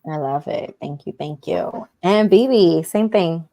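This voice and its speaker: A woman's voice: fairly low pitch, measured pace, mellow. A woman moderating a panel, enthusiastic and affirming as she hands questions to the speakers. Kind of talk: panel discussion.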